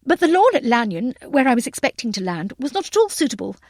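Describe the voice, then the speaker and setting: A woman voicing a bright, effusive, well-bred young lady aviator who is polite and apologetic, with old-fashioned phrasing. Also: on the high side, sing-song, quick pace, dry recording, clean studio sound. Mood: flustered, apologetic.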